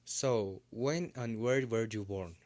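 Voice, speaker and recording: A man's voice: lowish voice, slow, a little mellow. A man playing a polite professor who hosts a scripted interview broadcast. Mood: polite, attentive. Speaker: interview host. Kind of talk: scripted presenting in English.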